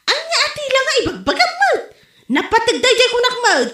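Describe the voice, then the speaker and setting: A woman's voice: extremely high pitch, wildly swooping pitch, a little fast, sharp tone, full character voice. A woman playing a chatty, vain wife and mother with an Ilocano accent, bantering expressively in a comedy drama. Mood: defensive, playful. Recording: roomy, echoey home recording.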